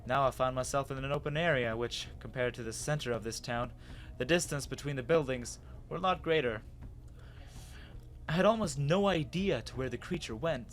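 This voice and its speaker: A man giving an expressive, dramatic first-person reading of a story as its narrator. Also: mid-range voice. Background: music.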